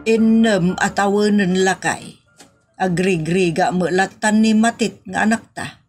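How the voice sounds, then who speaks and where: A woman's voice: mid-range voice, measured pace, darkish tone, soft background music, lightly acted. A woman with an Ilocano Filipino accent acting a nagging, exasperated rural wife and mother in a comedy audio drama, complaining in exclamations. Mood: indignant.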